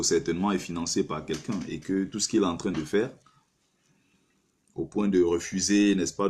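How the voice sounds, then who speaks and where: Man, livestream host, low voice, husky, argumentative, talks to the viewer. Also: a little mellow. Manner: argumentative, rhetorical, addresses listener directly. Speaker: livestream host taking callers. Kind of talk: opinionated live-stream commentary.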